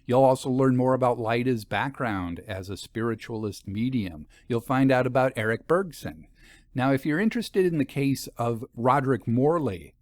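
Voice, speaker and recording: A man hosting a story podcast as author and reader, speaking in a scripted but chatty, expressive way. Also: mid-range voice, dry recording, clean studio sound. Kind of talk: scripted narration and conversational outro.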